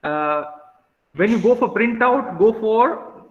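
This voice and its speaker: High-pitched Indian man teaching, tinny echoey audio. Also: expressive, slow. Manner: instructive, checks with "right?". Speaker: English teacher leading a class. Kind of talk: classroom instruction and feedback.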